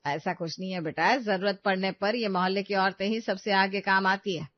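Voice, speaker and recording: A woman's voice: mid-range voice, fairly even intonation, normal speed, no room sound, close to an everyday voice. Lady doing stubborn older mother in law for a cartoon, scolding, dry muffled sound. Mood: defensive.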